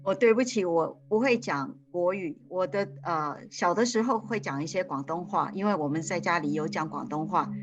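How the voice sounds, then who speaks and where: Live interpreter: a woman with a fairly low voice who hesitates and restarts often, with music in the background. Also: moderate pace, few pauses, dull audio.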